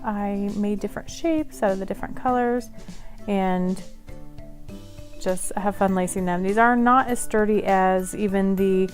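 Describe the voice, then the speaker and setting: A woman's voice: mid-range voice, unhurried, faint music behind. A woman hosting a casual craft tutorial, talking in a chatty, friendly way and laughing at herself now and then. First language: English; Polish is her second language. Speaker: craft channel host.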